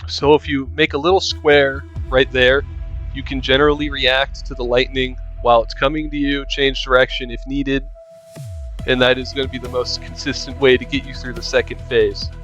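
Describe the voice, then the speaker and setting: A man's voice: medium pitch, level tone, normal speed, fairly bright tone, lo-fi sound, faint music behind. A man giving casual walkthrough commentary for a video game. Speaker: game guide narrator.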